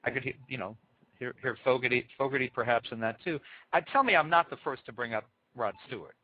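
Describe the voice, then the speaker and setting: A man's voice: mid-range voice, fast, dry recording. A man hosting an interview, stammering and restarting as he asks his questions. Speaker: podcast interviewer. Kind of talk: interview host. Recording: tinny phone-like line.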